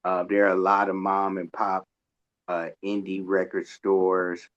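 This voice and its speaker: A man in casual chat on a radio show, halting as he searches for words, over a dull lo-fi online connection. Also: deep voice, completely flat delivery, a bit bright.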